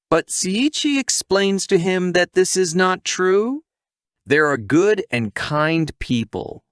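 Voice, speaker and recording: A man delivers scripted recap narration at a slow pace. His medium-pitched voice swings widely in intonation, and he talks to the listener in a casual way. The sound is dry, with no room around it. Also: fairly bright tone, no room sound.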